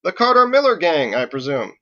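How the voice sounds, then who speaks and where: A man's voice: on the high side, very animated, fairly quick, sharp tone, dull audio, pitched above the performer's own voice. A man voicing a pompous, smugly confident lawman in armor for an audiobook, with formal, haughty diction. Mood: cheerfully haughty.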